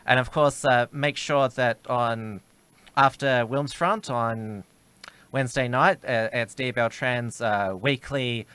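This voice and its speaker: A man's voice: mid-range voice, steady tone, slow, a bit bright, clear, resonant tone, dry recording. A man, co-hosting a live stream, talking steadily and promoting his shows with frequent uhs. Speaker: co-host. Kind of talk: casual live-stream chat.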